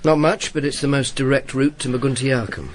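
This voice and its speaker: An actor playing a world-weary, sardonic Roman informer in a radio drama, grumpy and dry-witted. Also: male voice, mid-range voice, steady tone, a little fast, a little hiss or hum, natural voice. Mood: dry, guarded. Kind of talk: radio drama narration and dialogue.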